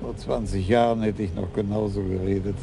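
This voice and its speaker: An actor in a radio crime play, playing a shrewd older police chief who asks measured, probing questions. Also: male voice, on the low side, steady tone, gravelly, background noise.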